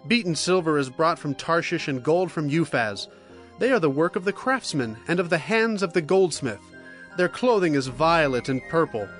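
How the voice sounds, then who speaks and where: Earnest male scripture voice, a bit higher, music bed behind. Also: lively intonation, moderate pace, a bit bright. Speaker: Bible narrator. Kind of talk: dramatized scripture reading.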